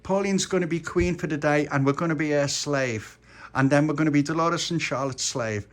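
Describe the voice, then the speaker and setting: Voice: medium pitch, fairly quick, voice pushed up, close to an everyday voice. A storyteller voicing a cheeky, protective little boy who leads his friends. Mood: cheeky, bossy.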